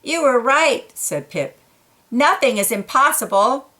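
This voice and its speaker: A woman reading a children's picture book aloud, pausing for quiet asides about the pictures. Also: high-pitched, very animated. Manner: slow, gentle, pointing out pictures in quiet asides.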